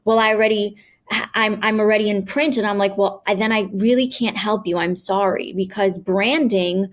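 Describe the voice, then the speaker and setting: A woman's voice: mid-range voice, gravelly. A woman from New York, a PR agency owner speaking as an interview guest, confident and direct as she explains at length. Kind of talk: interview answers.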